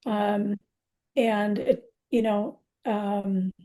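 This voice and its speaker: A woman's voice: mid-range voice, level tone, drawn-out words, mellow. A woman in her sixties, a poet answering interview questions in a candid, reflective, conversational way. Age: 60s.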